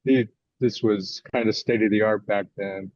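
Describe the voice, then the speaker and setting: A man's voice: on the low side, steady tone, normal speed, darkish tone, dry recording. Older American man, dull lo-fi recording. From United States, California. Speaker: guest author. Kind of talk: conversational interview answers. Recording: dull lo-fi audio.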